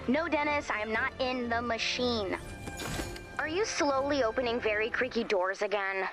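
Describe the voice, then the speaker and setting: An actress playing a sardonic, bossy young teammate in a video game, with a dry, snarky delivery. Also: female voice, fairly high pitch, normal speed, music in the background, lightly acted. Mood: sarcastic. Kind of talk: video game character voice acting.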